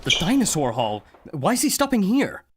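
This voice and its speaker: A man's voice: high voice, huge pitch swings, normal speed, very noisy. A man playing an anxious, earnest adventurer hero, urgent and dramatic, in an old-time radio adventure. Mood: wondering.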